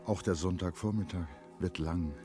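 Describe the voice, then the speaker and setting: A man narrating a literary radio drama in a measured, sombre manner. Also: deep voice, over music.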